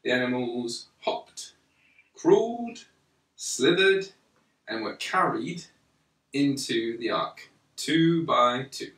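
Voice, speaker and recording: A man reading a children's story aloud in a measured, deliberate way, pausing between phrases. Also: mid-range voice, lively intonation, a bit bright. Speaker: storyteller reading a Bible picture book to a young child. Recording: roomy, echoey, slightly distant microphone.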